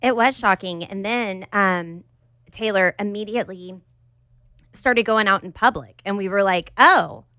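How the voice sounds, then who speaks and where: A woman co-hosting a podcast in casual chat, slightly raspy and animated. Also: mid-range voice, a little slow, no echo. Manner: animated, bursts into cheery put-on voices. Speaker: podcast co-host.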